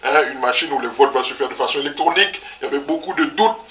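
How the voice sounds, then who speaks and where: Bright-voiced man explaining, slightly distant. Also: mid-range voice, fast talker, roomy sound, slight background noise. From DR Congo. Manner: explanatory, with frequent "hein" and hesitations. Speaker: co-host. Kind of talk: conversational current-affairs talk show.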